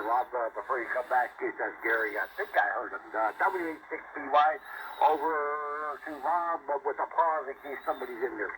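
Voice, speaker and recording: Man chatting over hissy shortwave radio. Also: mid-range voice. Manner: sarcastic, amused, lots of fillers. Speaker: ham radio operator. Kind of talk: casual radio chat. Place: heard through a receiver. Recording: noisy shortwave radio reception.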